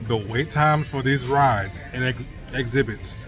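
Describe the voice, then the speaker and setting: A man's voice: medium pitch, measured pace. A man in his thirties narrating a family day out in a playful, teasing, chatty way, filmed on a handheld phone. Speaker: family vlogger. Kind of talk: casual family vlog narration. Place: busy outdoor theme park. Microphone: handheld phone camera. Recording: loud park crowd and music. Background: music and crowd noise.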